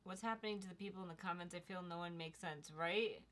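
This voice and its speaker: Young woman on a livestream, kinda low voice but bright, just chatting and reading chat out loud. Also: fast. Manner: relaxed, chatty, reads viewer comments aloud.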